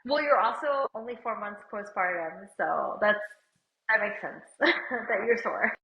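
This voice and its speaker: A woman's voice: mid-range voice, measured pace. A woman co-hosting a casual business chat, talking easily with a slight cold and filling her speech with "like". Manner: chatty, uses "like" often, laughs lightly. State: has a cold. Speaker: podcast co-host and company CEO. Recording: roomy, somewhat dull sound.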